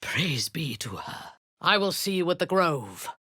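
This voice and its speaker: Low, husky drow warlord, cold and cruel, slow and deliberate, swinging from hissed threats to sharp barked orders. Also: female voice, sing-song, no room sound, studio quality, heavily acted. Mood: menacing. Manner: cold commands, often dropping to a menacing whisper. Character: cruel zealous drow woman warlord, commanding. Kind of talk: video game voice acting.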